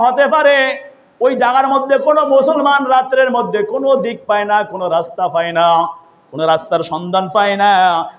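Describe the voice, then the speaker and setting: A man preaching as an Islamic storyteller, impassioned, with drawn-out calls and repeated phrases. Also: high voice. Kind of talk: storytelling sermon. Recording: dull, lo-fi audio.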